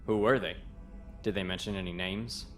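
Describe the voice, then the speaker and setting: A young military aide acted by a man speaks in a fairly low voice, polite and tactful. It is a sci-fi comic dub with music clearly behind the deferential, dutiful officer. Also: fairly even intonation, moderate pace, a bit bright. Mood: dutiful, steady. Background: prominent music.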